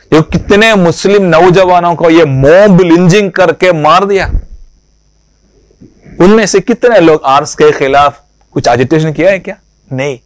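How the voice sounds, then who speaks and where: A man who leads an organization delivers a political public address to supporters, speaking in a deliberate, emphatic way and often posing rhetorical questions. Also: fairly high pitch, no room sound.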